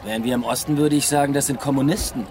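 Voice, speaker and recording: An actor playing an evasive police detective with a troubled love life in a naturalistic German radio drama. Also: male voice, mid-range voice, steady tone, fast talker, background noise, natural voice. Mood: dry, reserved. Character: male police detective, evasive, pleading lover. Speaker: detective character. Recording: radio drama with music underneath.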